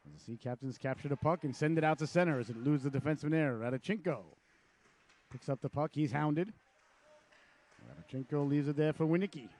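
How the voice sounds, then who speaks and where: A man with a raspy voice from a cold, calling live hockey play-by-play with chatty asides. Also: fairly high pitch, a little mellow. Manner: chatty asides between rapid calls. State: hoarse from a cold. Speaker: play-by-play announcer.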